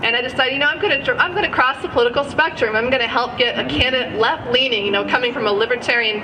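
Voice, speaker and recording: A woman's voice: high voice, very fast. A woman in her thirties giving an earnest speech to an audience, with frequent fillers and self-corrections. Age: 30s. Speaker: guest speaker at a rally. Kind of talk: public speech. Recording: distant mic with audience noise.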